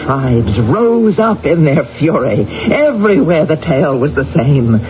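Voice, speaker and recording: A woman's voice: deep voice, sing-song, a little slow, background noise. An actress playing a proud, vengeful ancient British queen in a radio drama, commanding and theatrical. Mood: grim, triumphant. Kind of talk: dramatic radio acting with first-person storytelling. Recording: dull vintage radio recording, music behind.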